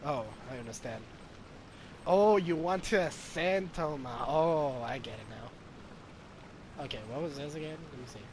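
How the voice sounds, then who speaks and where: A man's voice: a little high, measured pace, long pauses, background noise. A man giving casual live gameplay commentary, hesitant and thinking aloud as he goes. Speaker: game streamer. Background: game music.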